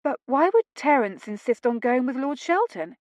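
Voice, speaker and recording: Woman voicing a flustered young lady, higher pitch. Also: sing-song, moderate pace, no echo. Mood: anxious. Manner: refined, hesitant questions.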